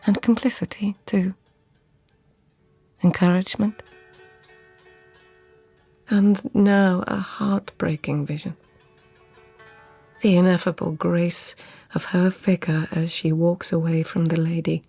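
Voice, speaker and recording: Husky, haunted court lady. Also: lowish voice, steady tone, soft background music, heavily acted. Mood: tender, wistful, then grieving, haunted. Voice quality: husky, often breathy near-whisper. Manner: hushed, deliberate, haunted storytelling. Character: intense, devoted court lady of tenth-century Japan. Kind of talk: literary radio drama acting.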